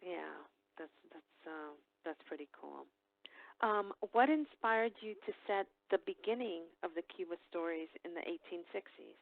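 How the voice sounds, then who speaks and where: A middle-aged woman hosting a casual book interview, speaking haltingly and often repeating or rephrasing her words. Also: fairly low pitch, lively intonation, vocal fry, dry recording. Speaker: podcast interviewer, book club coordinator. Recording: narrow phone-line sound.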